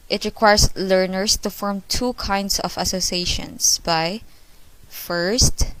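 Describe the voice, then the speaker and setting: A woman's voice: on the low side, clean tone, dry recording, poor audio. A young woman student giving a class report, explaining each point again with simple examples. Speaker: student presenter.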